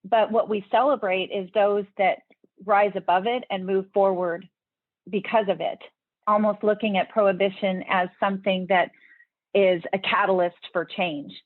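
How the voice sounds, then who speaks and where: A woman's voice: medium pitch, steady tone, moderate pace, no echo. A woman guest talks earnestly through her points, explaining step by step and restarting phrases as she thinks. Her voice comes over a thin, phone-like remote line with no room sound at all. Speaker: podcast guest, leadership speaker. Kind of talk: interview answers.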